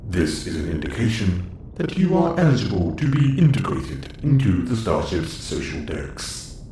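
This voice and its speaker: A man's voice: deep voice, sing-song, moderate pace, mellow. A man playing a formal, clinical android boss of a starship in an audio roleplay, speaking in precise phrasing. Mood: measured, formal. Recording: roomy echo, faint background noise.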